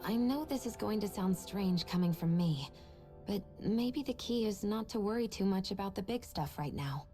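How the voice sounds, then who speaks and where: An actress voicing a reserved, composed young woman in a video game cutscene, speaking in a measured way. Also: medium pitch, animated, dark tone, faint music behind, natural voice.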